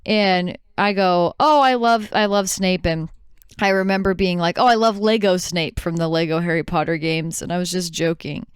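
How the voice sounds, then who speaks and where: Very clear-voiced woman chatting on a podcast. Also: lowish voice, fairly even intonation, moderate pace, no room sound, studio quality. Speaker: podcast co-host. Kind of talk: casual podcast chat.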